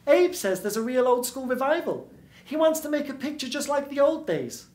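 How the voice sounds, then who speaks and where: Man doing a high-pitched seductive noir dame. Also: big pitch swings, normal speed, darkish tone. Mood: coaxing. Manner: sweet, breezy, cajoling. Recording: roomy stage sound.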